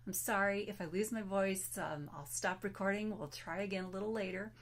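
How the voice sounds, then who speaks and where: A woman's voice: mid-range voice, steady tone, brisk. Weak-voiced woman author presenting. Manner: chatty, self-deprecating, with fillers. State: losing her voice, weak. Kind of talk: casual recorded presentation.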